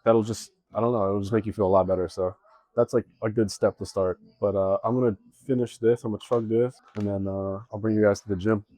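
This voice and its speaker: A sick young man vlogging casual gym advice, sounding a bit raspy and nasal, rambling with lots of fillers and swearing. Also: fairly low pitch, fairly even intonation, fairly quick, mellow, no room sound. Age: young adult. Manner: rambling, laid-back, swears often, lots of "like". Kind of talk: casual motivational vlog.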